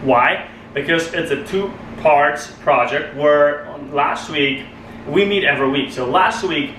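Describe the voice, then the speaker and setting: A young man with a Polish accent gives an earnest club speech, restarting phrases as he searches for the right words. His voice is bright and fairly high for a man. He sounds set back from the microphone in an echoey meeting room. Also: slow pace, slight background noise. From Poland. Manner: earnest, restarts phrases, non-native grammar. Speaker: club speaker. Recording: echoey room, mic far off.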